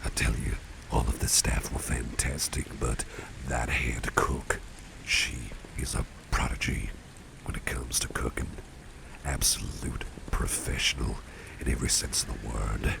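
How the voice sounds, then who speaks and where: A man playing a kindly, genteel boss in an ASMR roleplay, speaking in an unhurried, breathy half-whisper with a gravelly depth. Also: very deep voice, level tone, heavily acted. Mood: pleased, praising. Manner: genteel, unhurried, repeats phrases for emphasis. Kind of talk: ASMR roleplay, soft-spoken personal attention.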